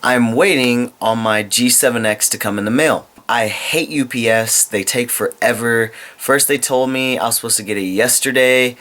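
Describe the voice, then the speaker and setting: A man's voice: mid-range voice, a bit bright. A man in his twenties chatting casually to his camera while lying in bed, rambling and candid with a self-deprecating streak. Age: 20s. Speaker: vlogger. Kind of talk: casual vlog chat to camera. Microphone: handheld camera mic.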